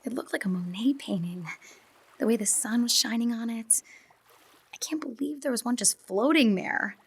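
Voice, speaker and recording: Actress doing wistful teasing girl in love, creaky voice, soft hushed bits, audio drama. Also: a little high, moderate pace. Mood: awed, dreamy. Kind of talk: audio drama acting, intimate monologue and dialogue.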